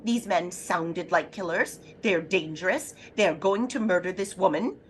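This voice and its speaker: An actress playing an anxious, imperious invalid wife in a throwback radio thriller, agitated, demanding and melodramatic. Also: female voice, fairly low pitch, a little slow, slight background noise, close to an everyday voice. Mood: insistent, frantic. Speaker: lead actress in an audio drama.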